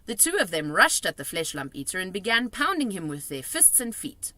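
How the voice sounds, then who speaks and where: Animated, bright-voiced woman reading a story. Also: mid-range voice, a little fast, no echo. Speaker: storybook reader. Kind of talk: animated storybook narration for children.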